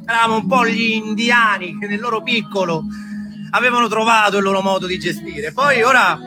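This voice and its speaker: A man tells a story in a theatrical, reflective way, with dramatic pauses and shifting pace. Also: sits high, music in the background. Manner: dramatic pauses, shifts between slow and quick phrases. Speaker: storyteller, stage performer.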